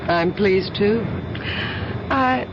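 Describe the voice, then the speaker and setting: A woman's voice: medium pitch, drawn-out words. An actress playing a friendly, poised female traveller with a polite, sociable manner in a radio drama. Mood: friendly, flirtatious. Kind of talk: 1970s radio drama acting. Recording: dull, noisy vintage radio recording.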